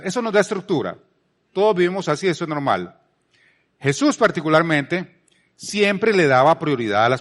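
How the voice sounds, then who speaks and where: A Colombian man preaches in a conversational style, his voice set a little higher than most men's. He drives points home by repeating phrases and poses rhetorical questions as he teaches. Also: a bit bright. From Palmira, Colombia. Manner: emphatic repetition, rhetorical questions. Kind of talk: conversational sermon.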